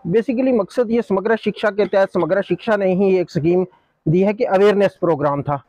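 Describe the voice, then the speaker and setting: A man who is an education official answering a news interviewer in a measured, formal way, mixing in English terms. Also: sits high, mellow, no echo. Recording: dry, dull lo-fi sound.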